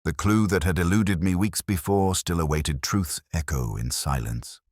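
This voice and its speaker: A man narrating a scripted first-person detective story in a measured, reflective, literary manner. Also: low voice, darkish tone, no echo, studio quality.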